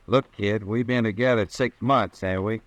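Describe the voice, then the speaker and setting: A man's voice: on the low side, steady tone, moderate pace, lightly acted. A man playing a cold-blooded, scheming cowboy killer with a curt, folksy western drawl in an old-time radio western. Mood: sly, gruff.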